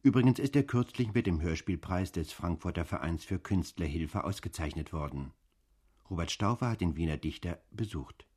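A man's voice: low voice, lively intonation, moderate pace, a little mellow, slightly rough. A man presenting on radio, reading a scripted introduction in an arts magazine style.

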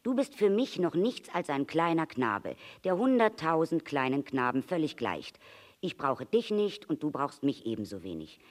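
A storybook reader takes on an earnest fox with a wistful heart. The voice sits mid-range and moves in lively leaps, explaining with emphasis and pleading for friendship. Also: mid-range voice, moderate pace, lightly acted. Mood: explanatory, earnest. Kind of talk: storybook character reading.

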